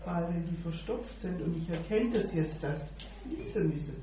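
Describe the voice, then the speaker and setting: A woman's voice: fairly low pitch, lively intonation, moderate pace, warm tone. A woman sharing at a church meeting, hesitant, with many fillers. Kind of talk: informal devotional sharing. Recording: echoey room mic from far off with background noise.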